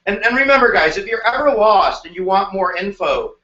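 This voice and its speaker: A middle-aged man teaches history live, his high voice bright and playful. He slips into slang and jokes as he goes. The sound is dull and boxy, with the echo of a bare room. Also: lively intonation, fairly quick. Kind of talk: energetic live-stream lesson.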